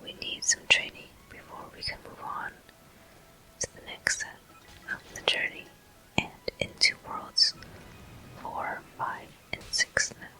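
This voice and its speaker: A breathy, unvoiced whisper narrates gameplay as an ASMR host would. The delivery is very gentle and slow, with long, soothing phrasing. The sound is crisp and very bright, all air and no voiced tone. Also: low-quality recording. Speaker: gaming host. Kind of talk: whispered ASMR game commentary.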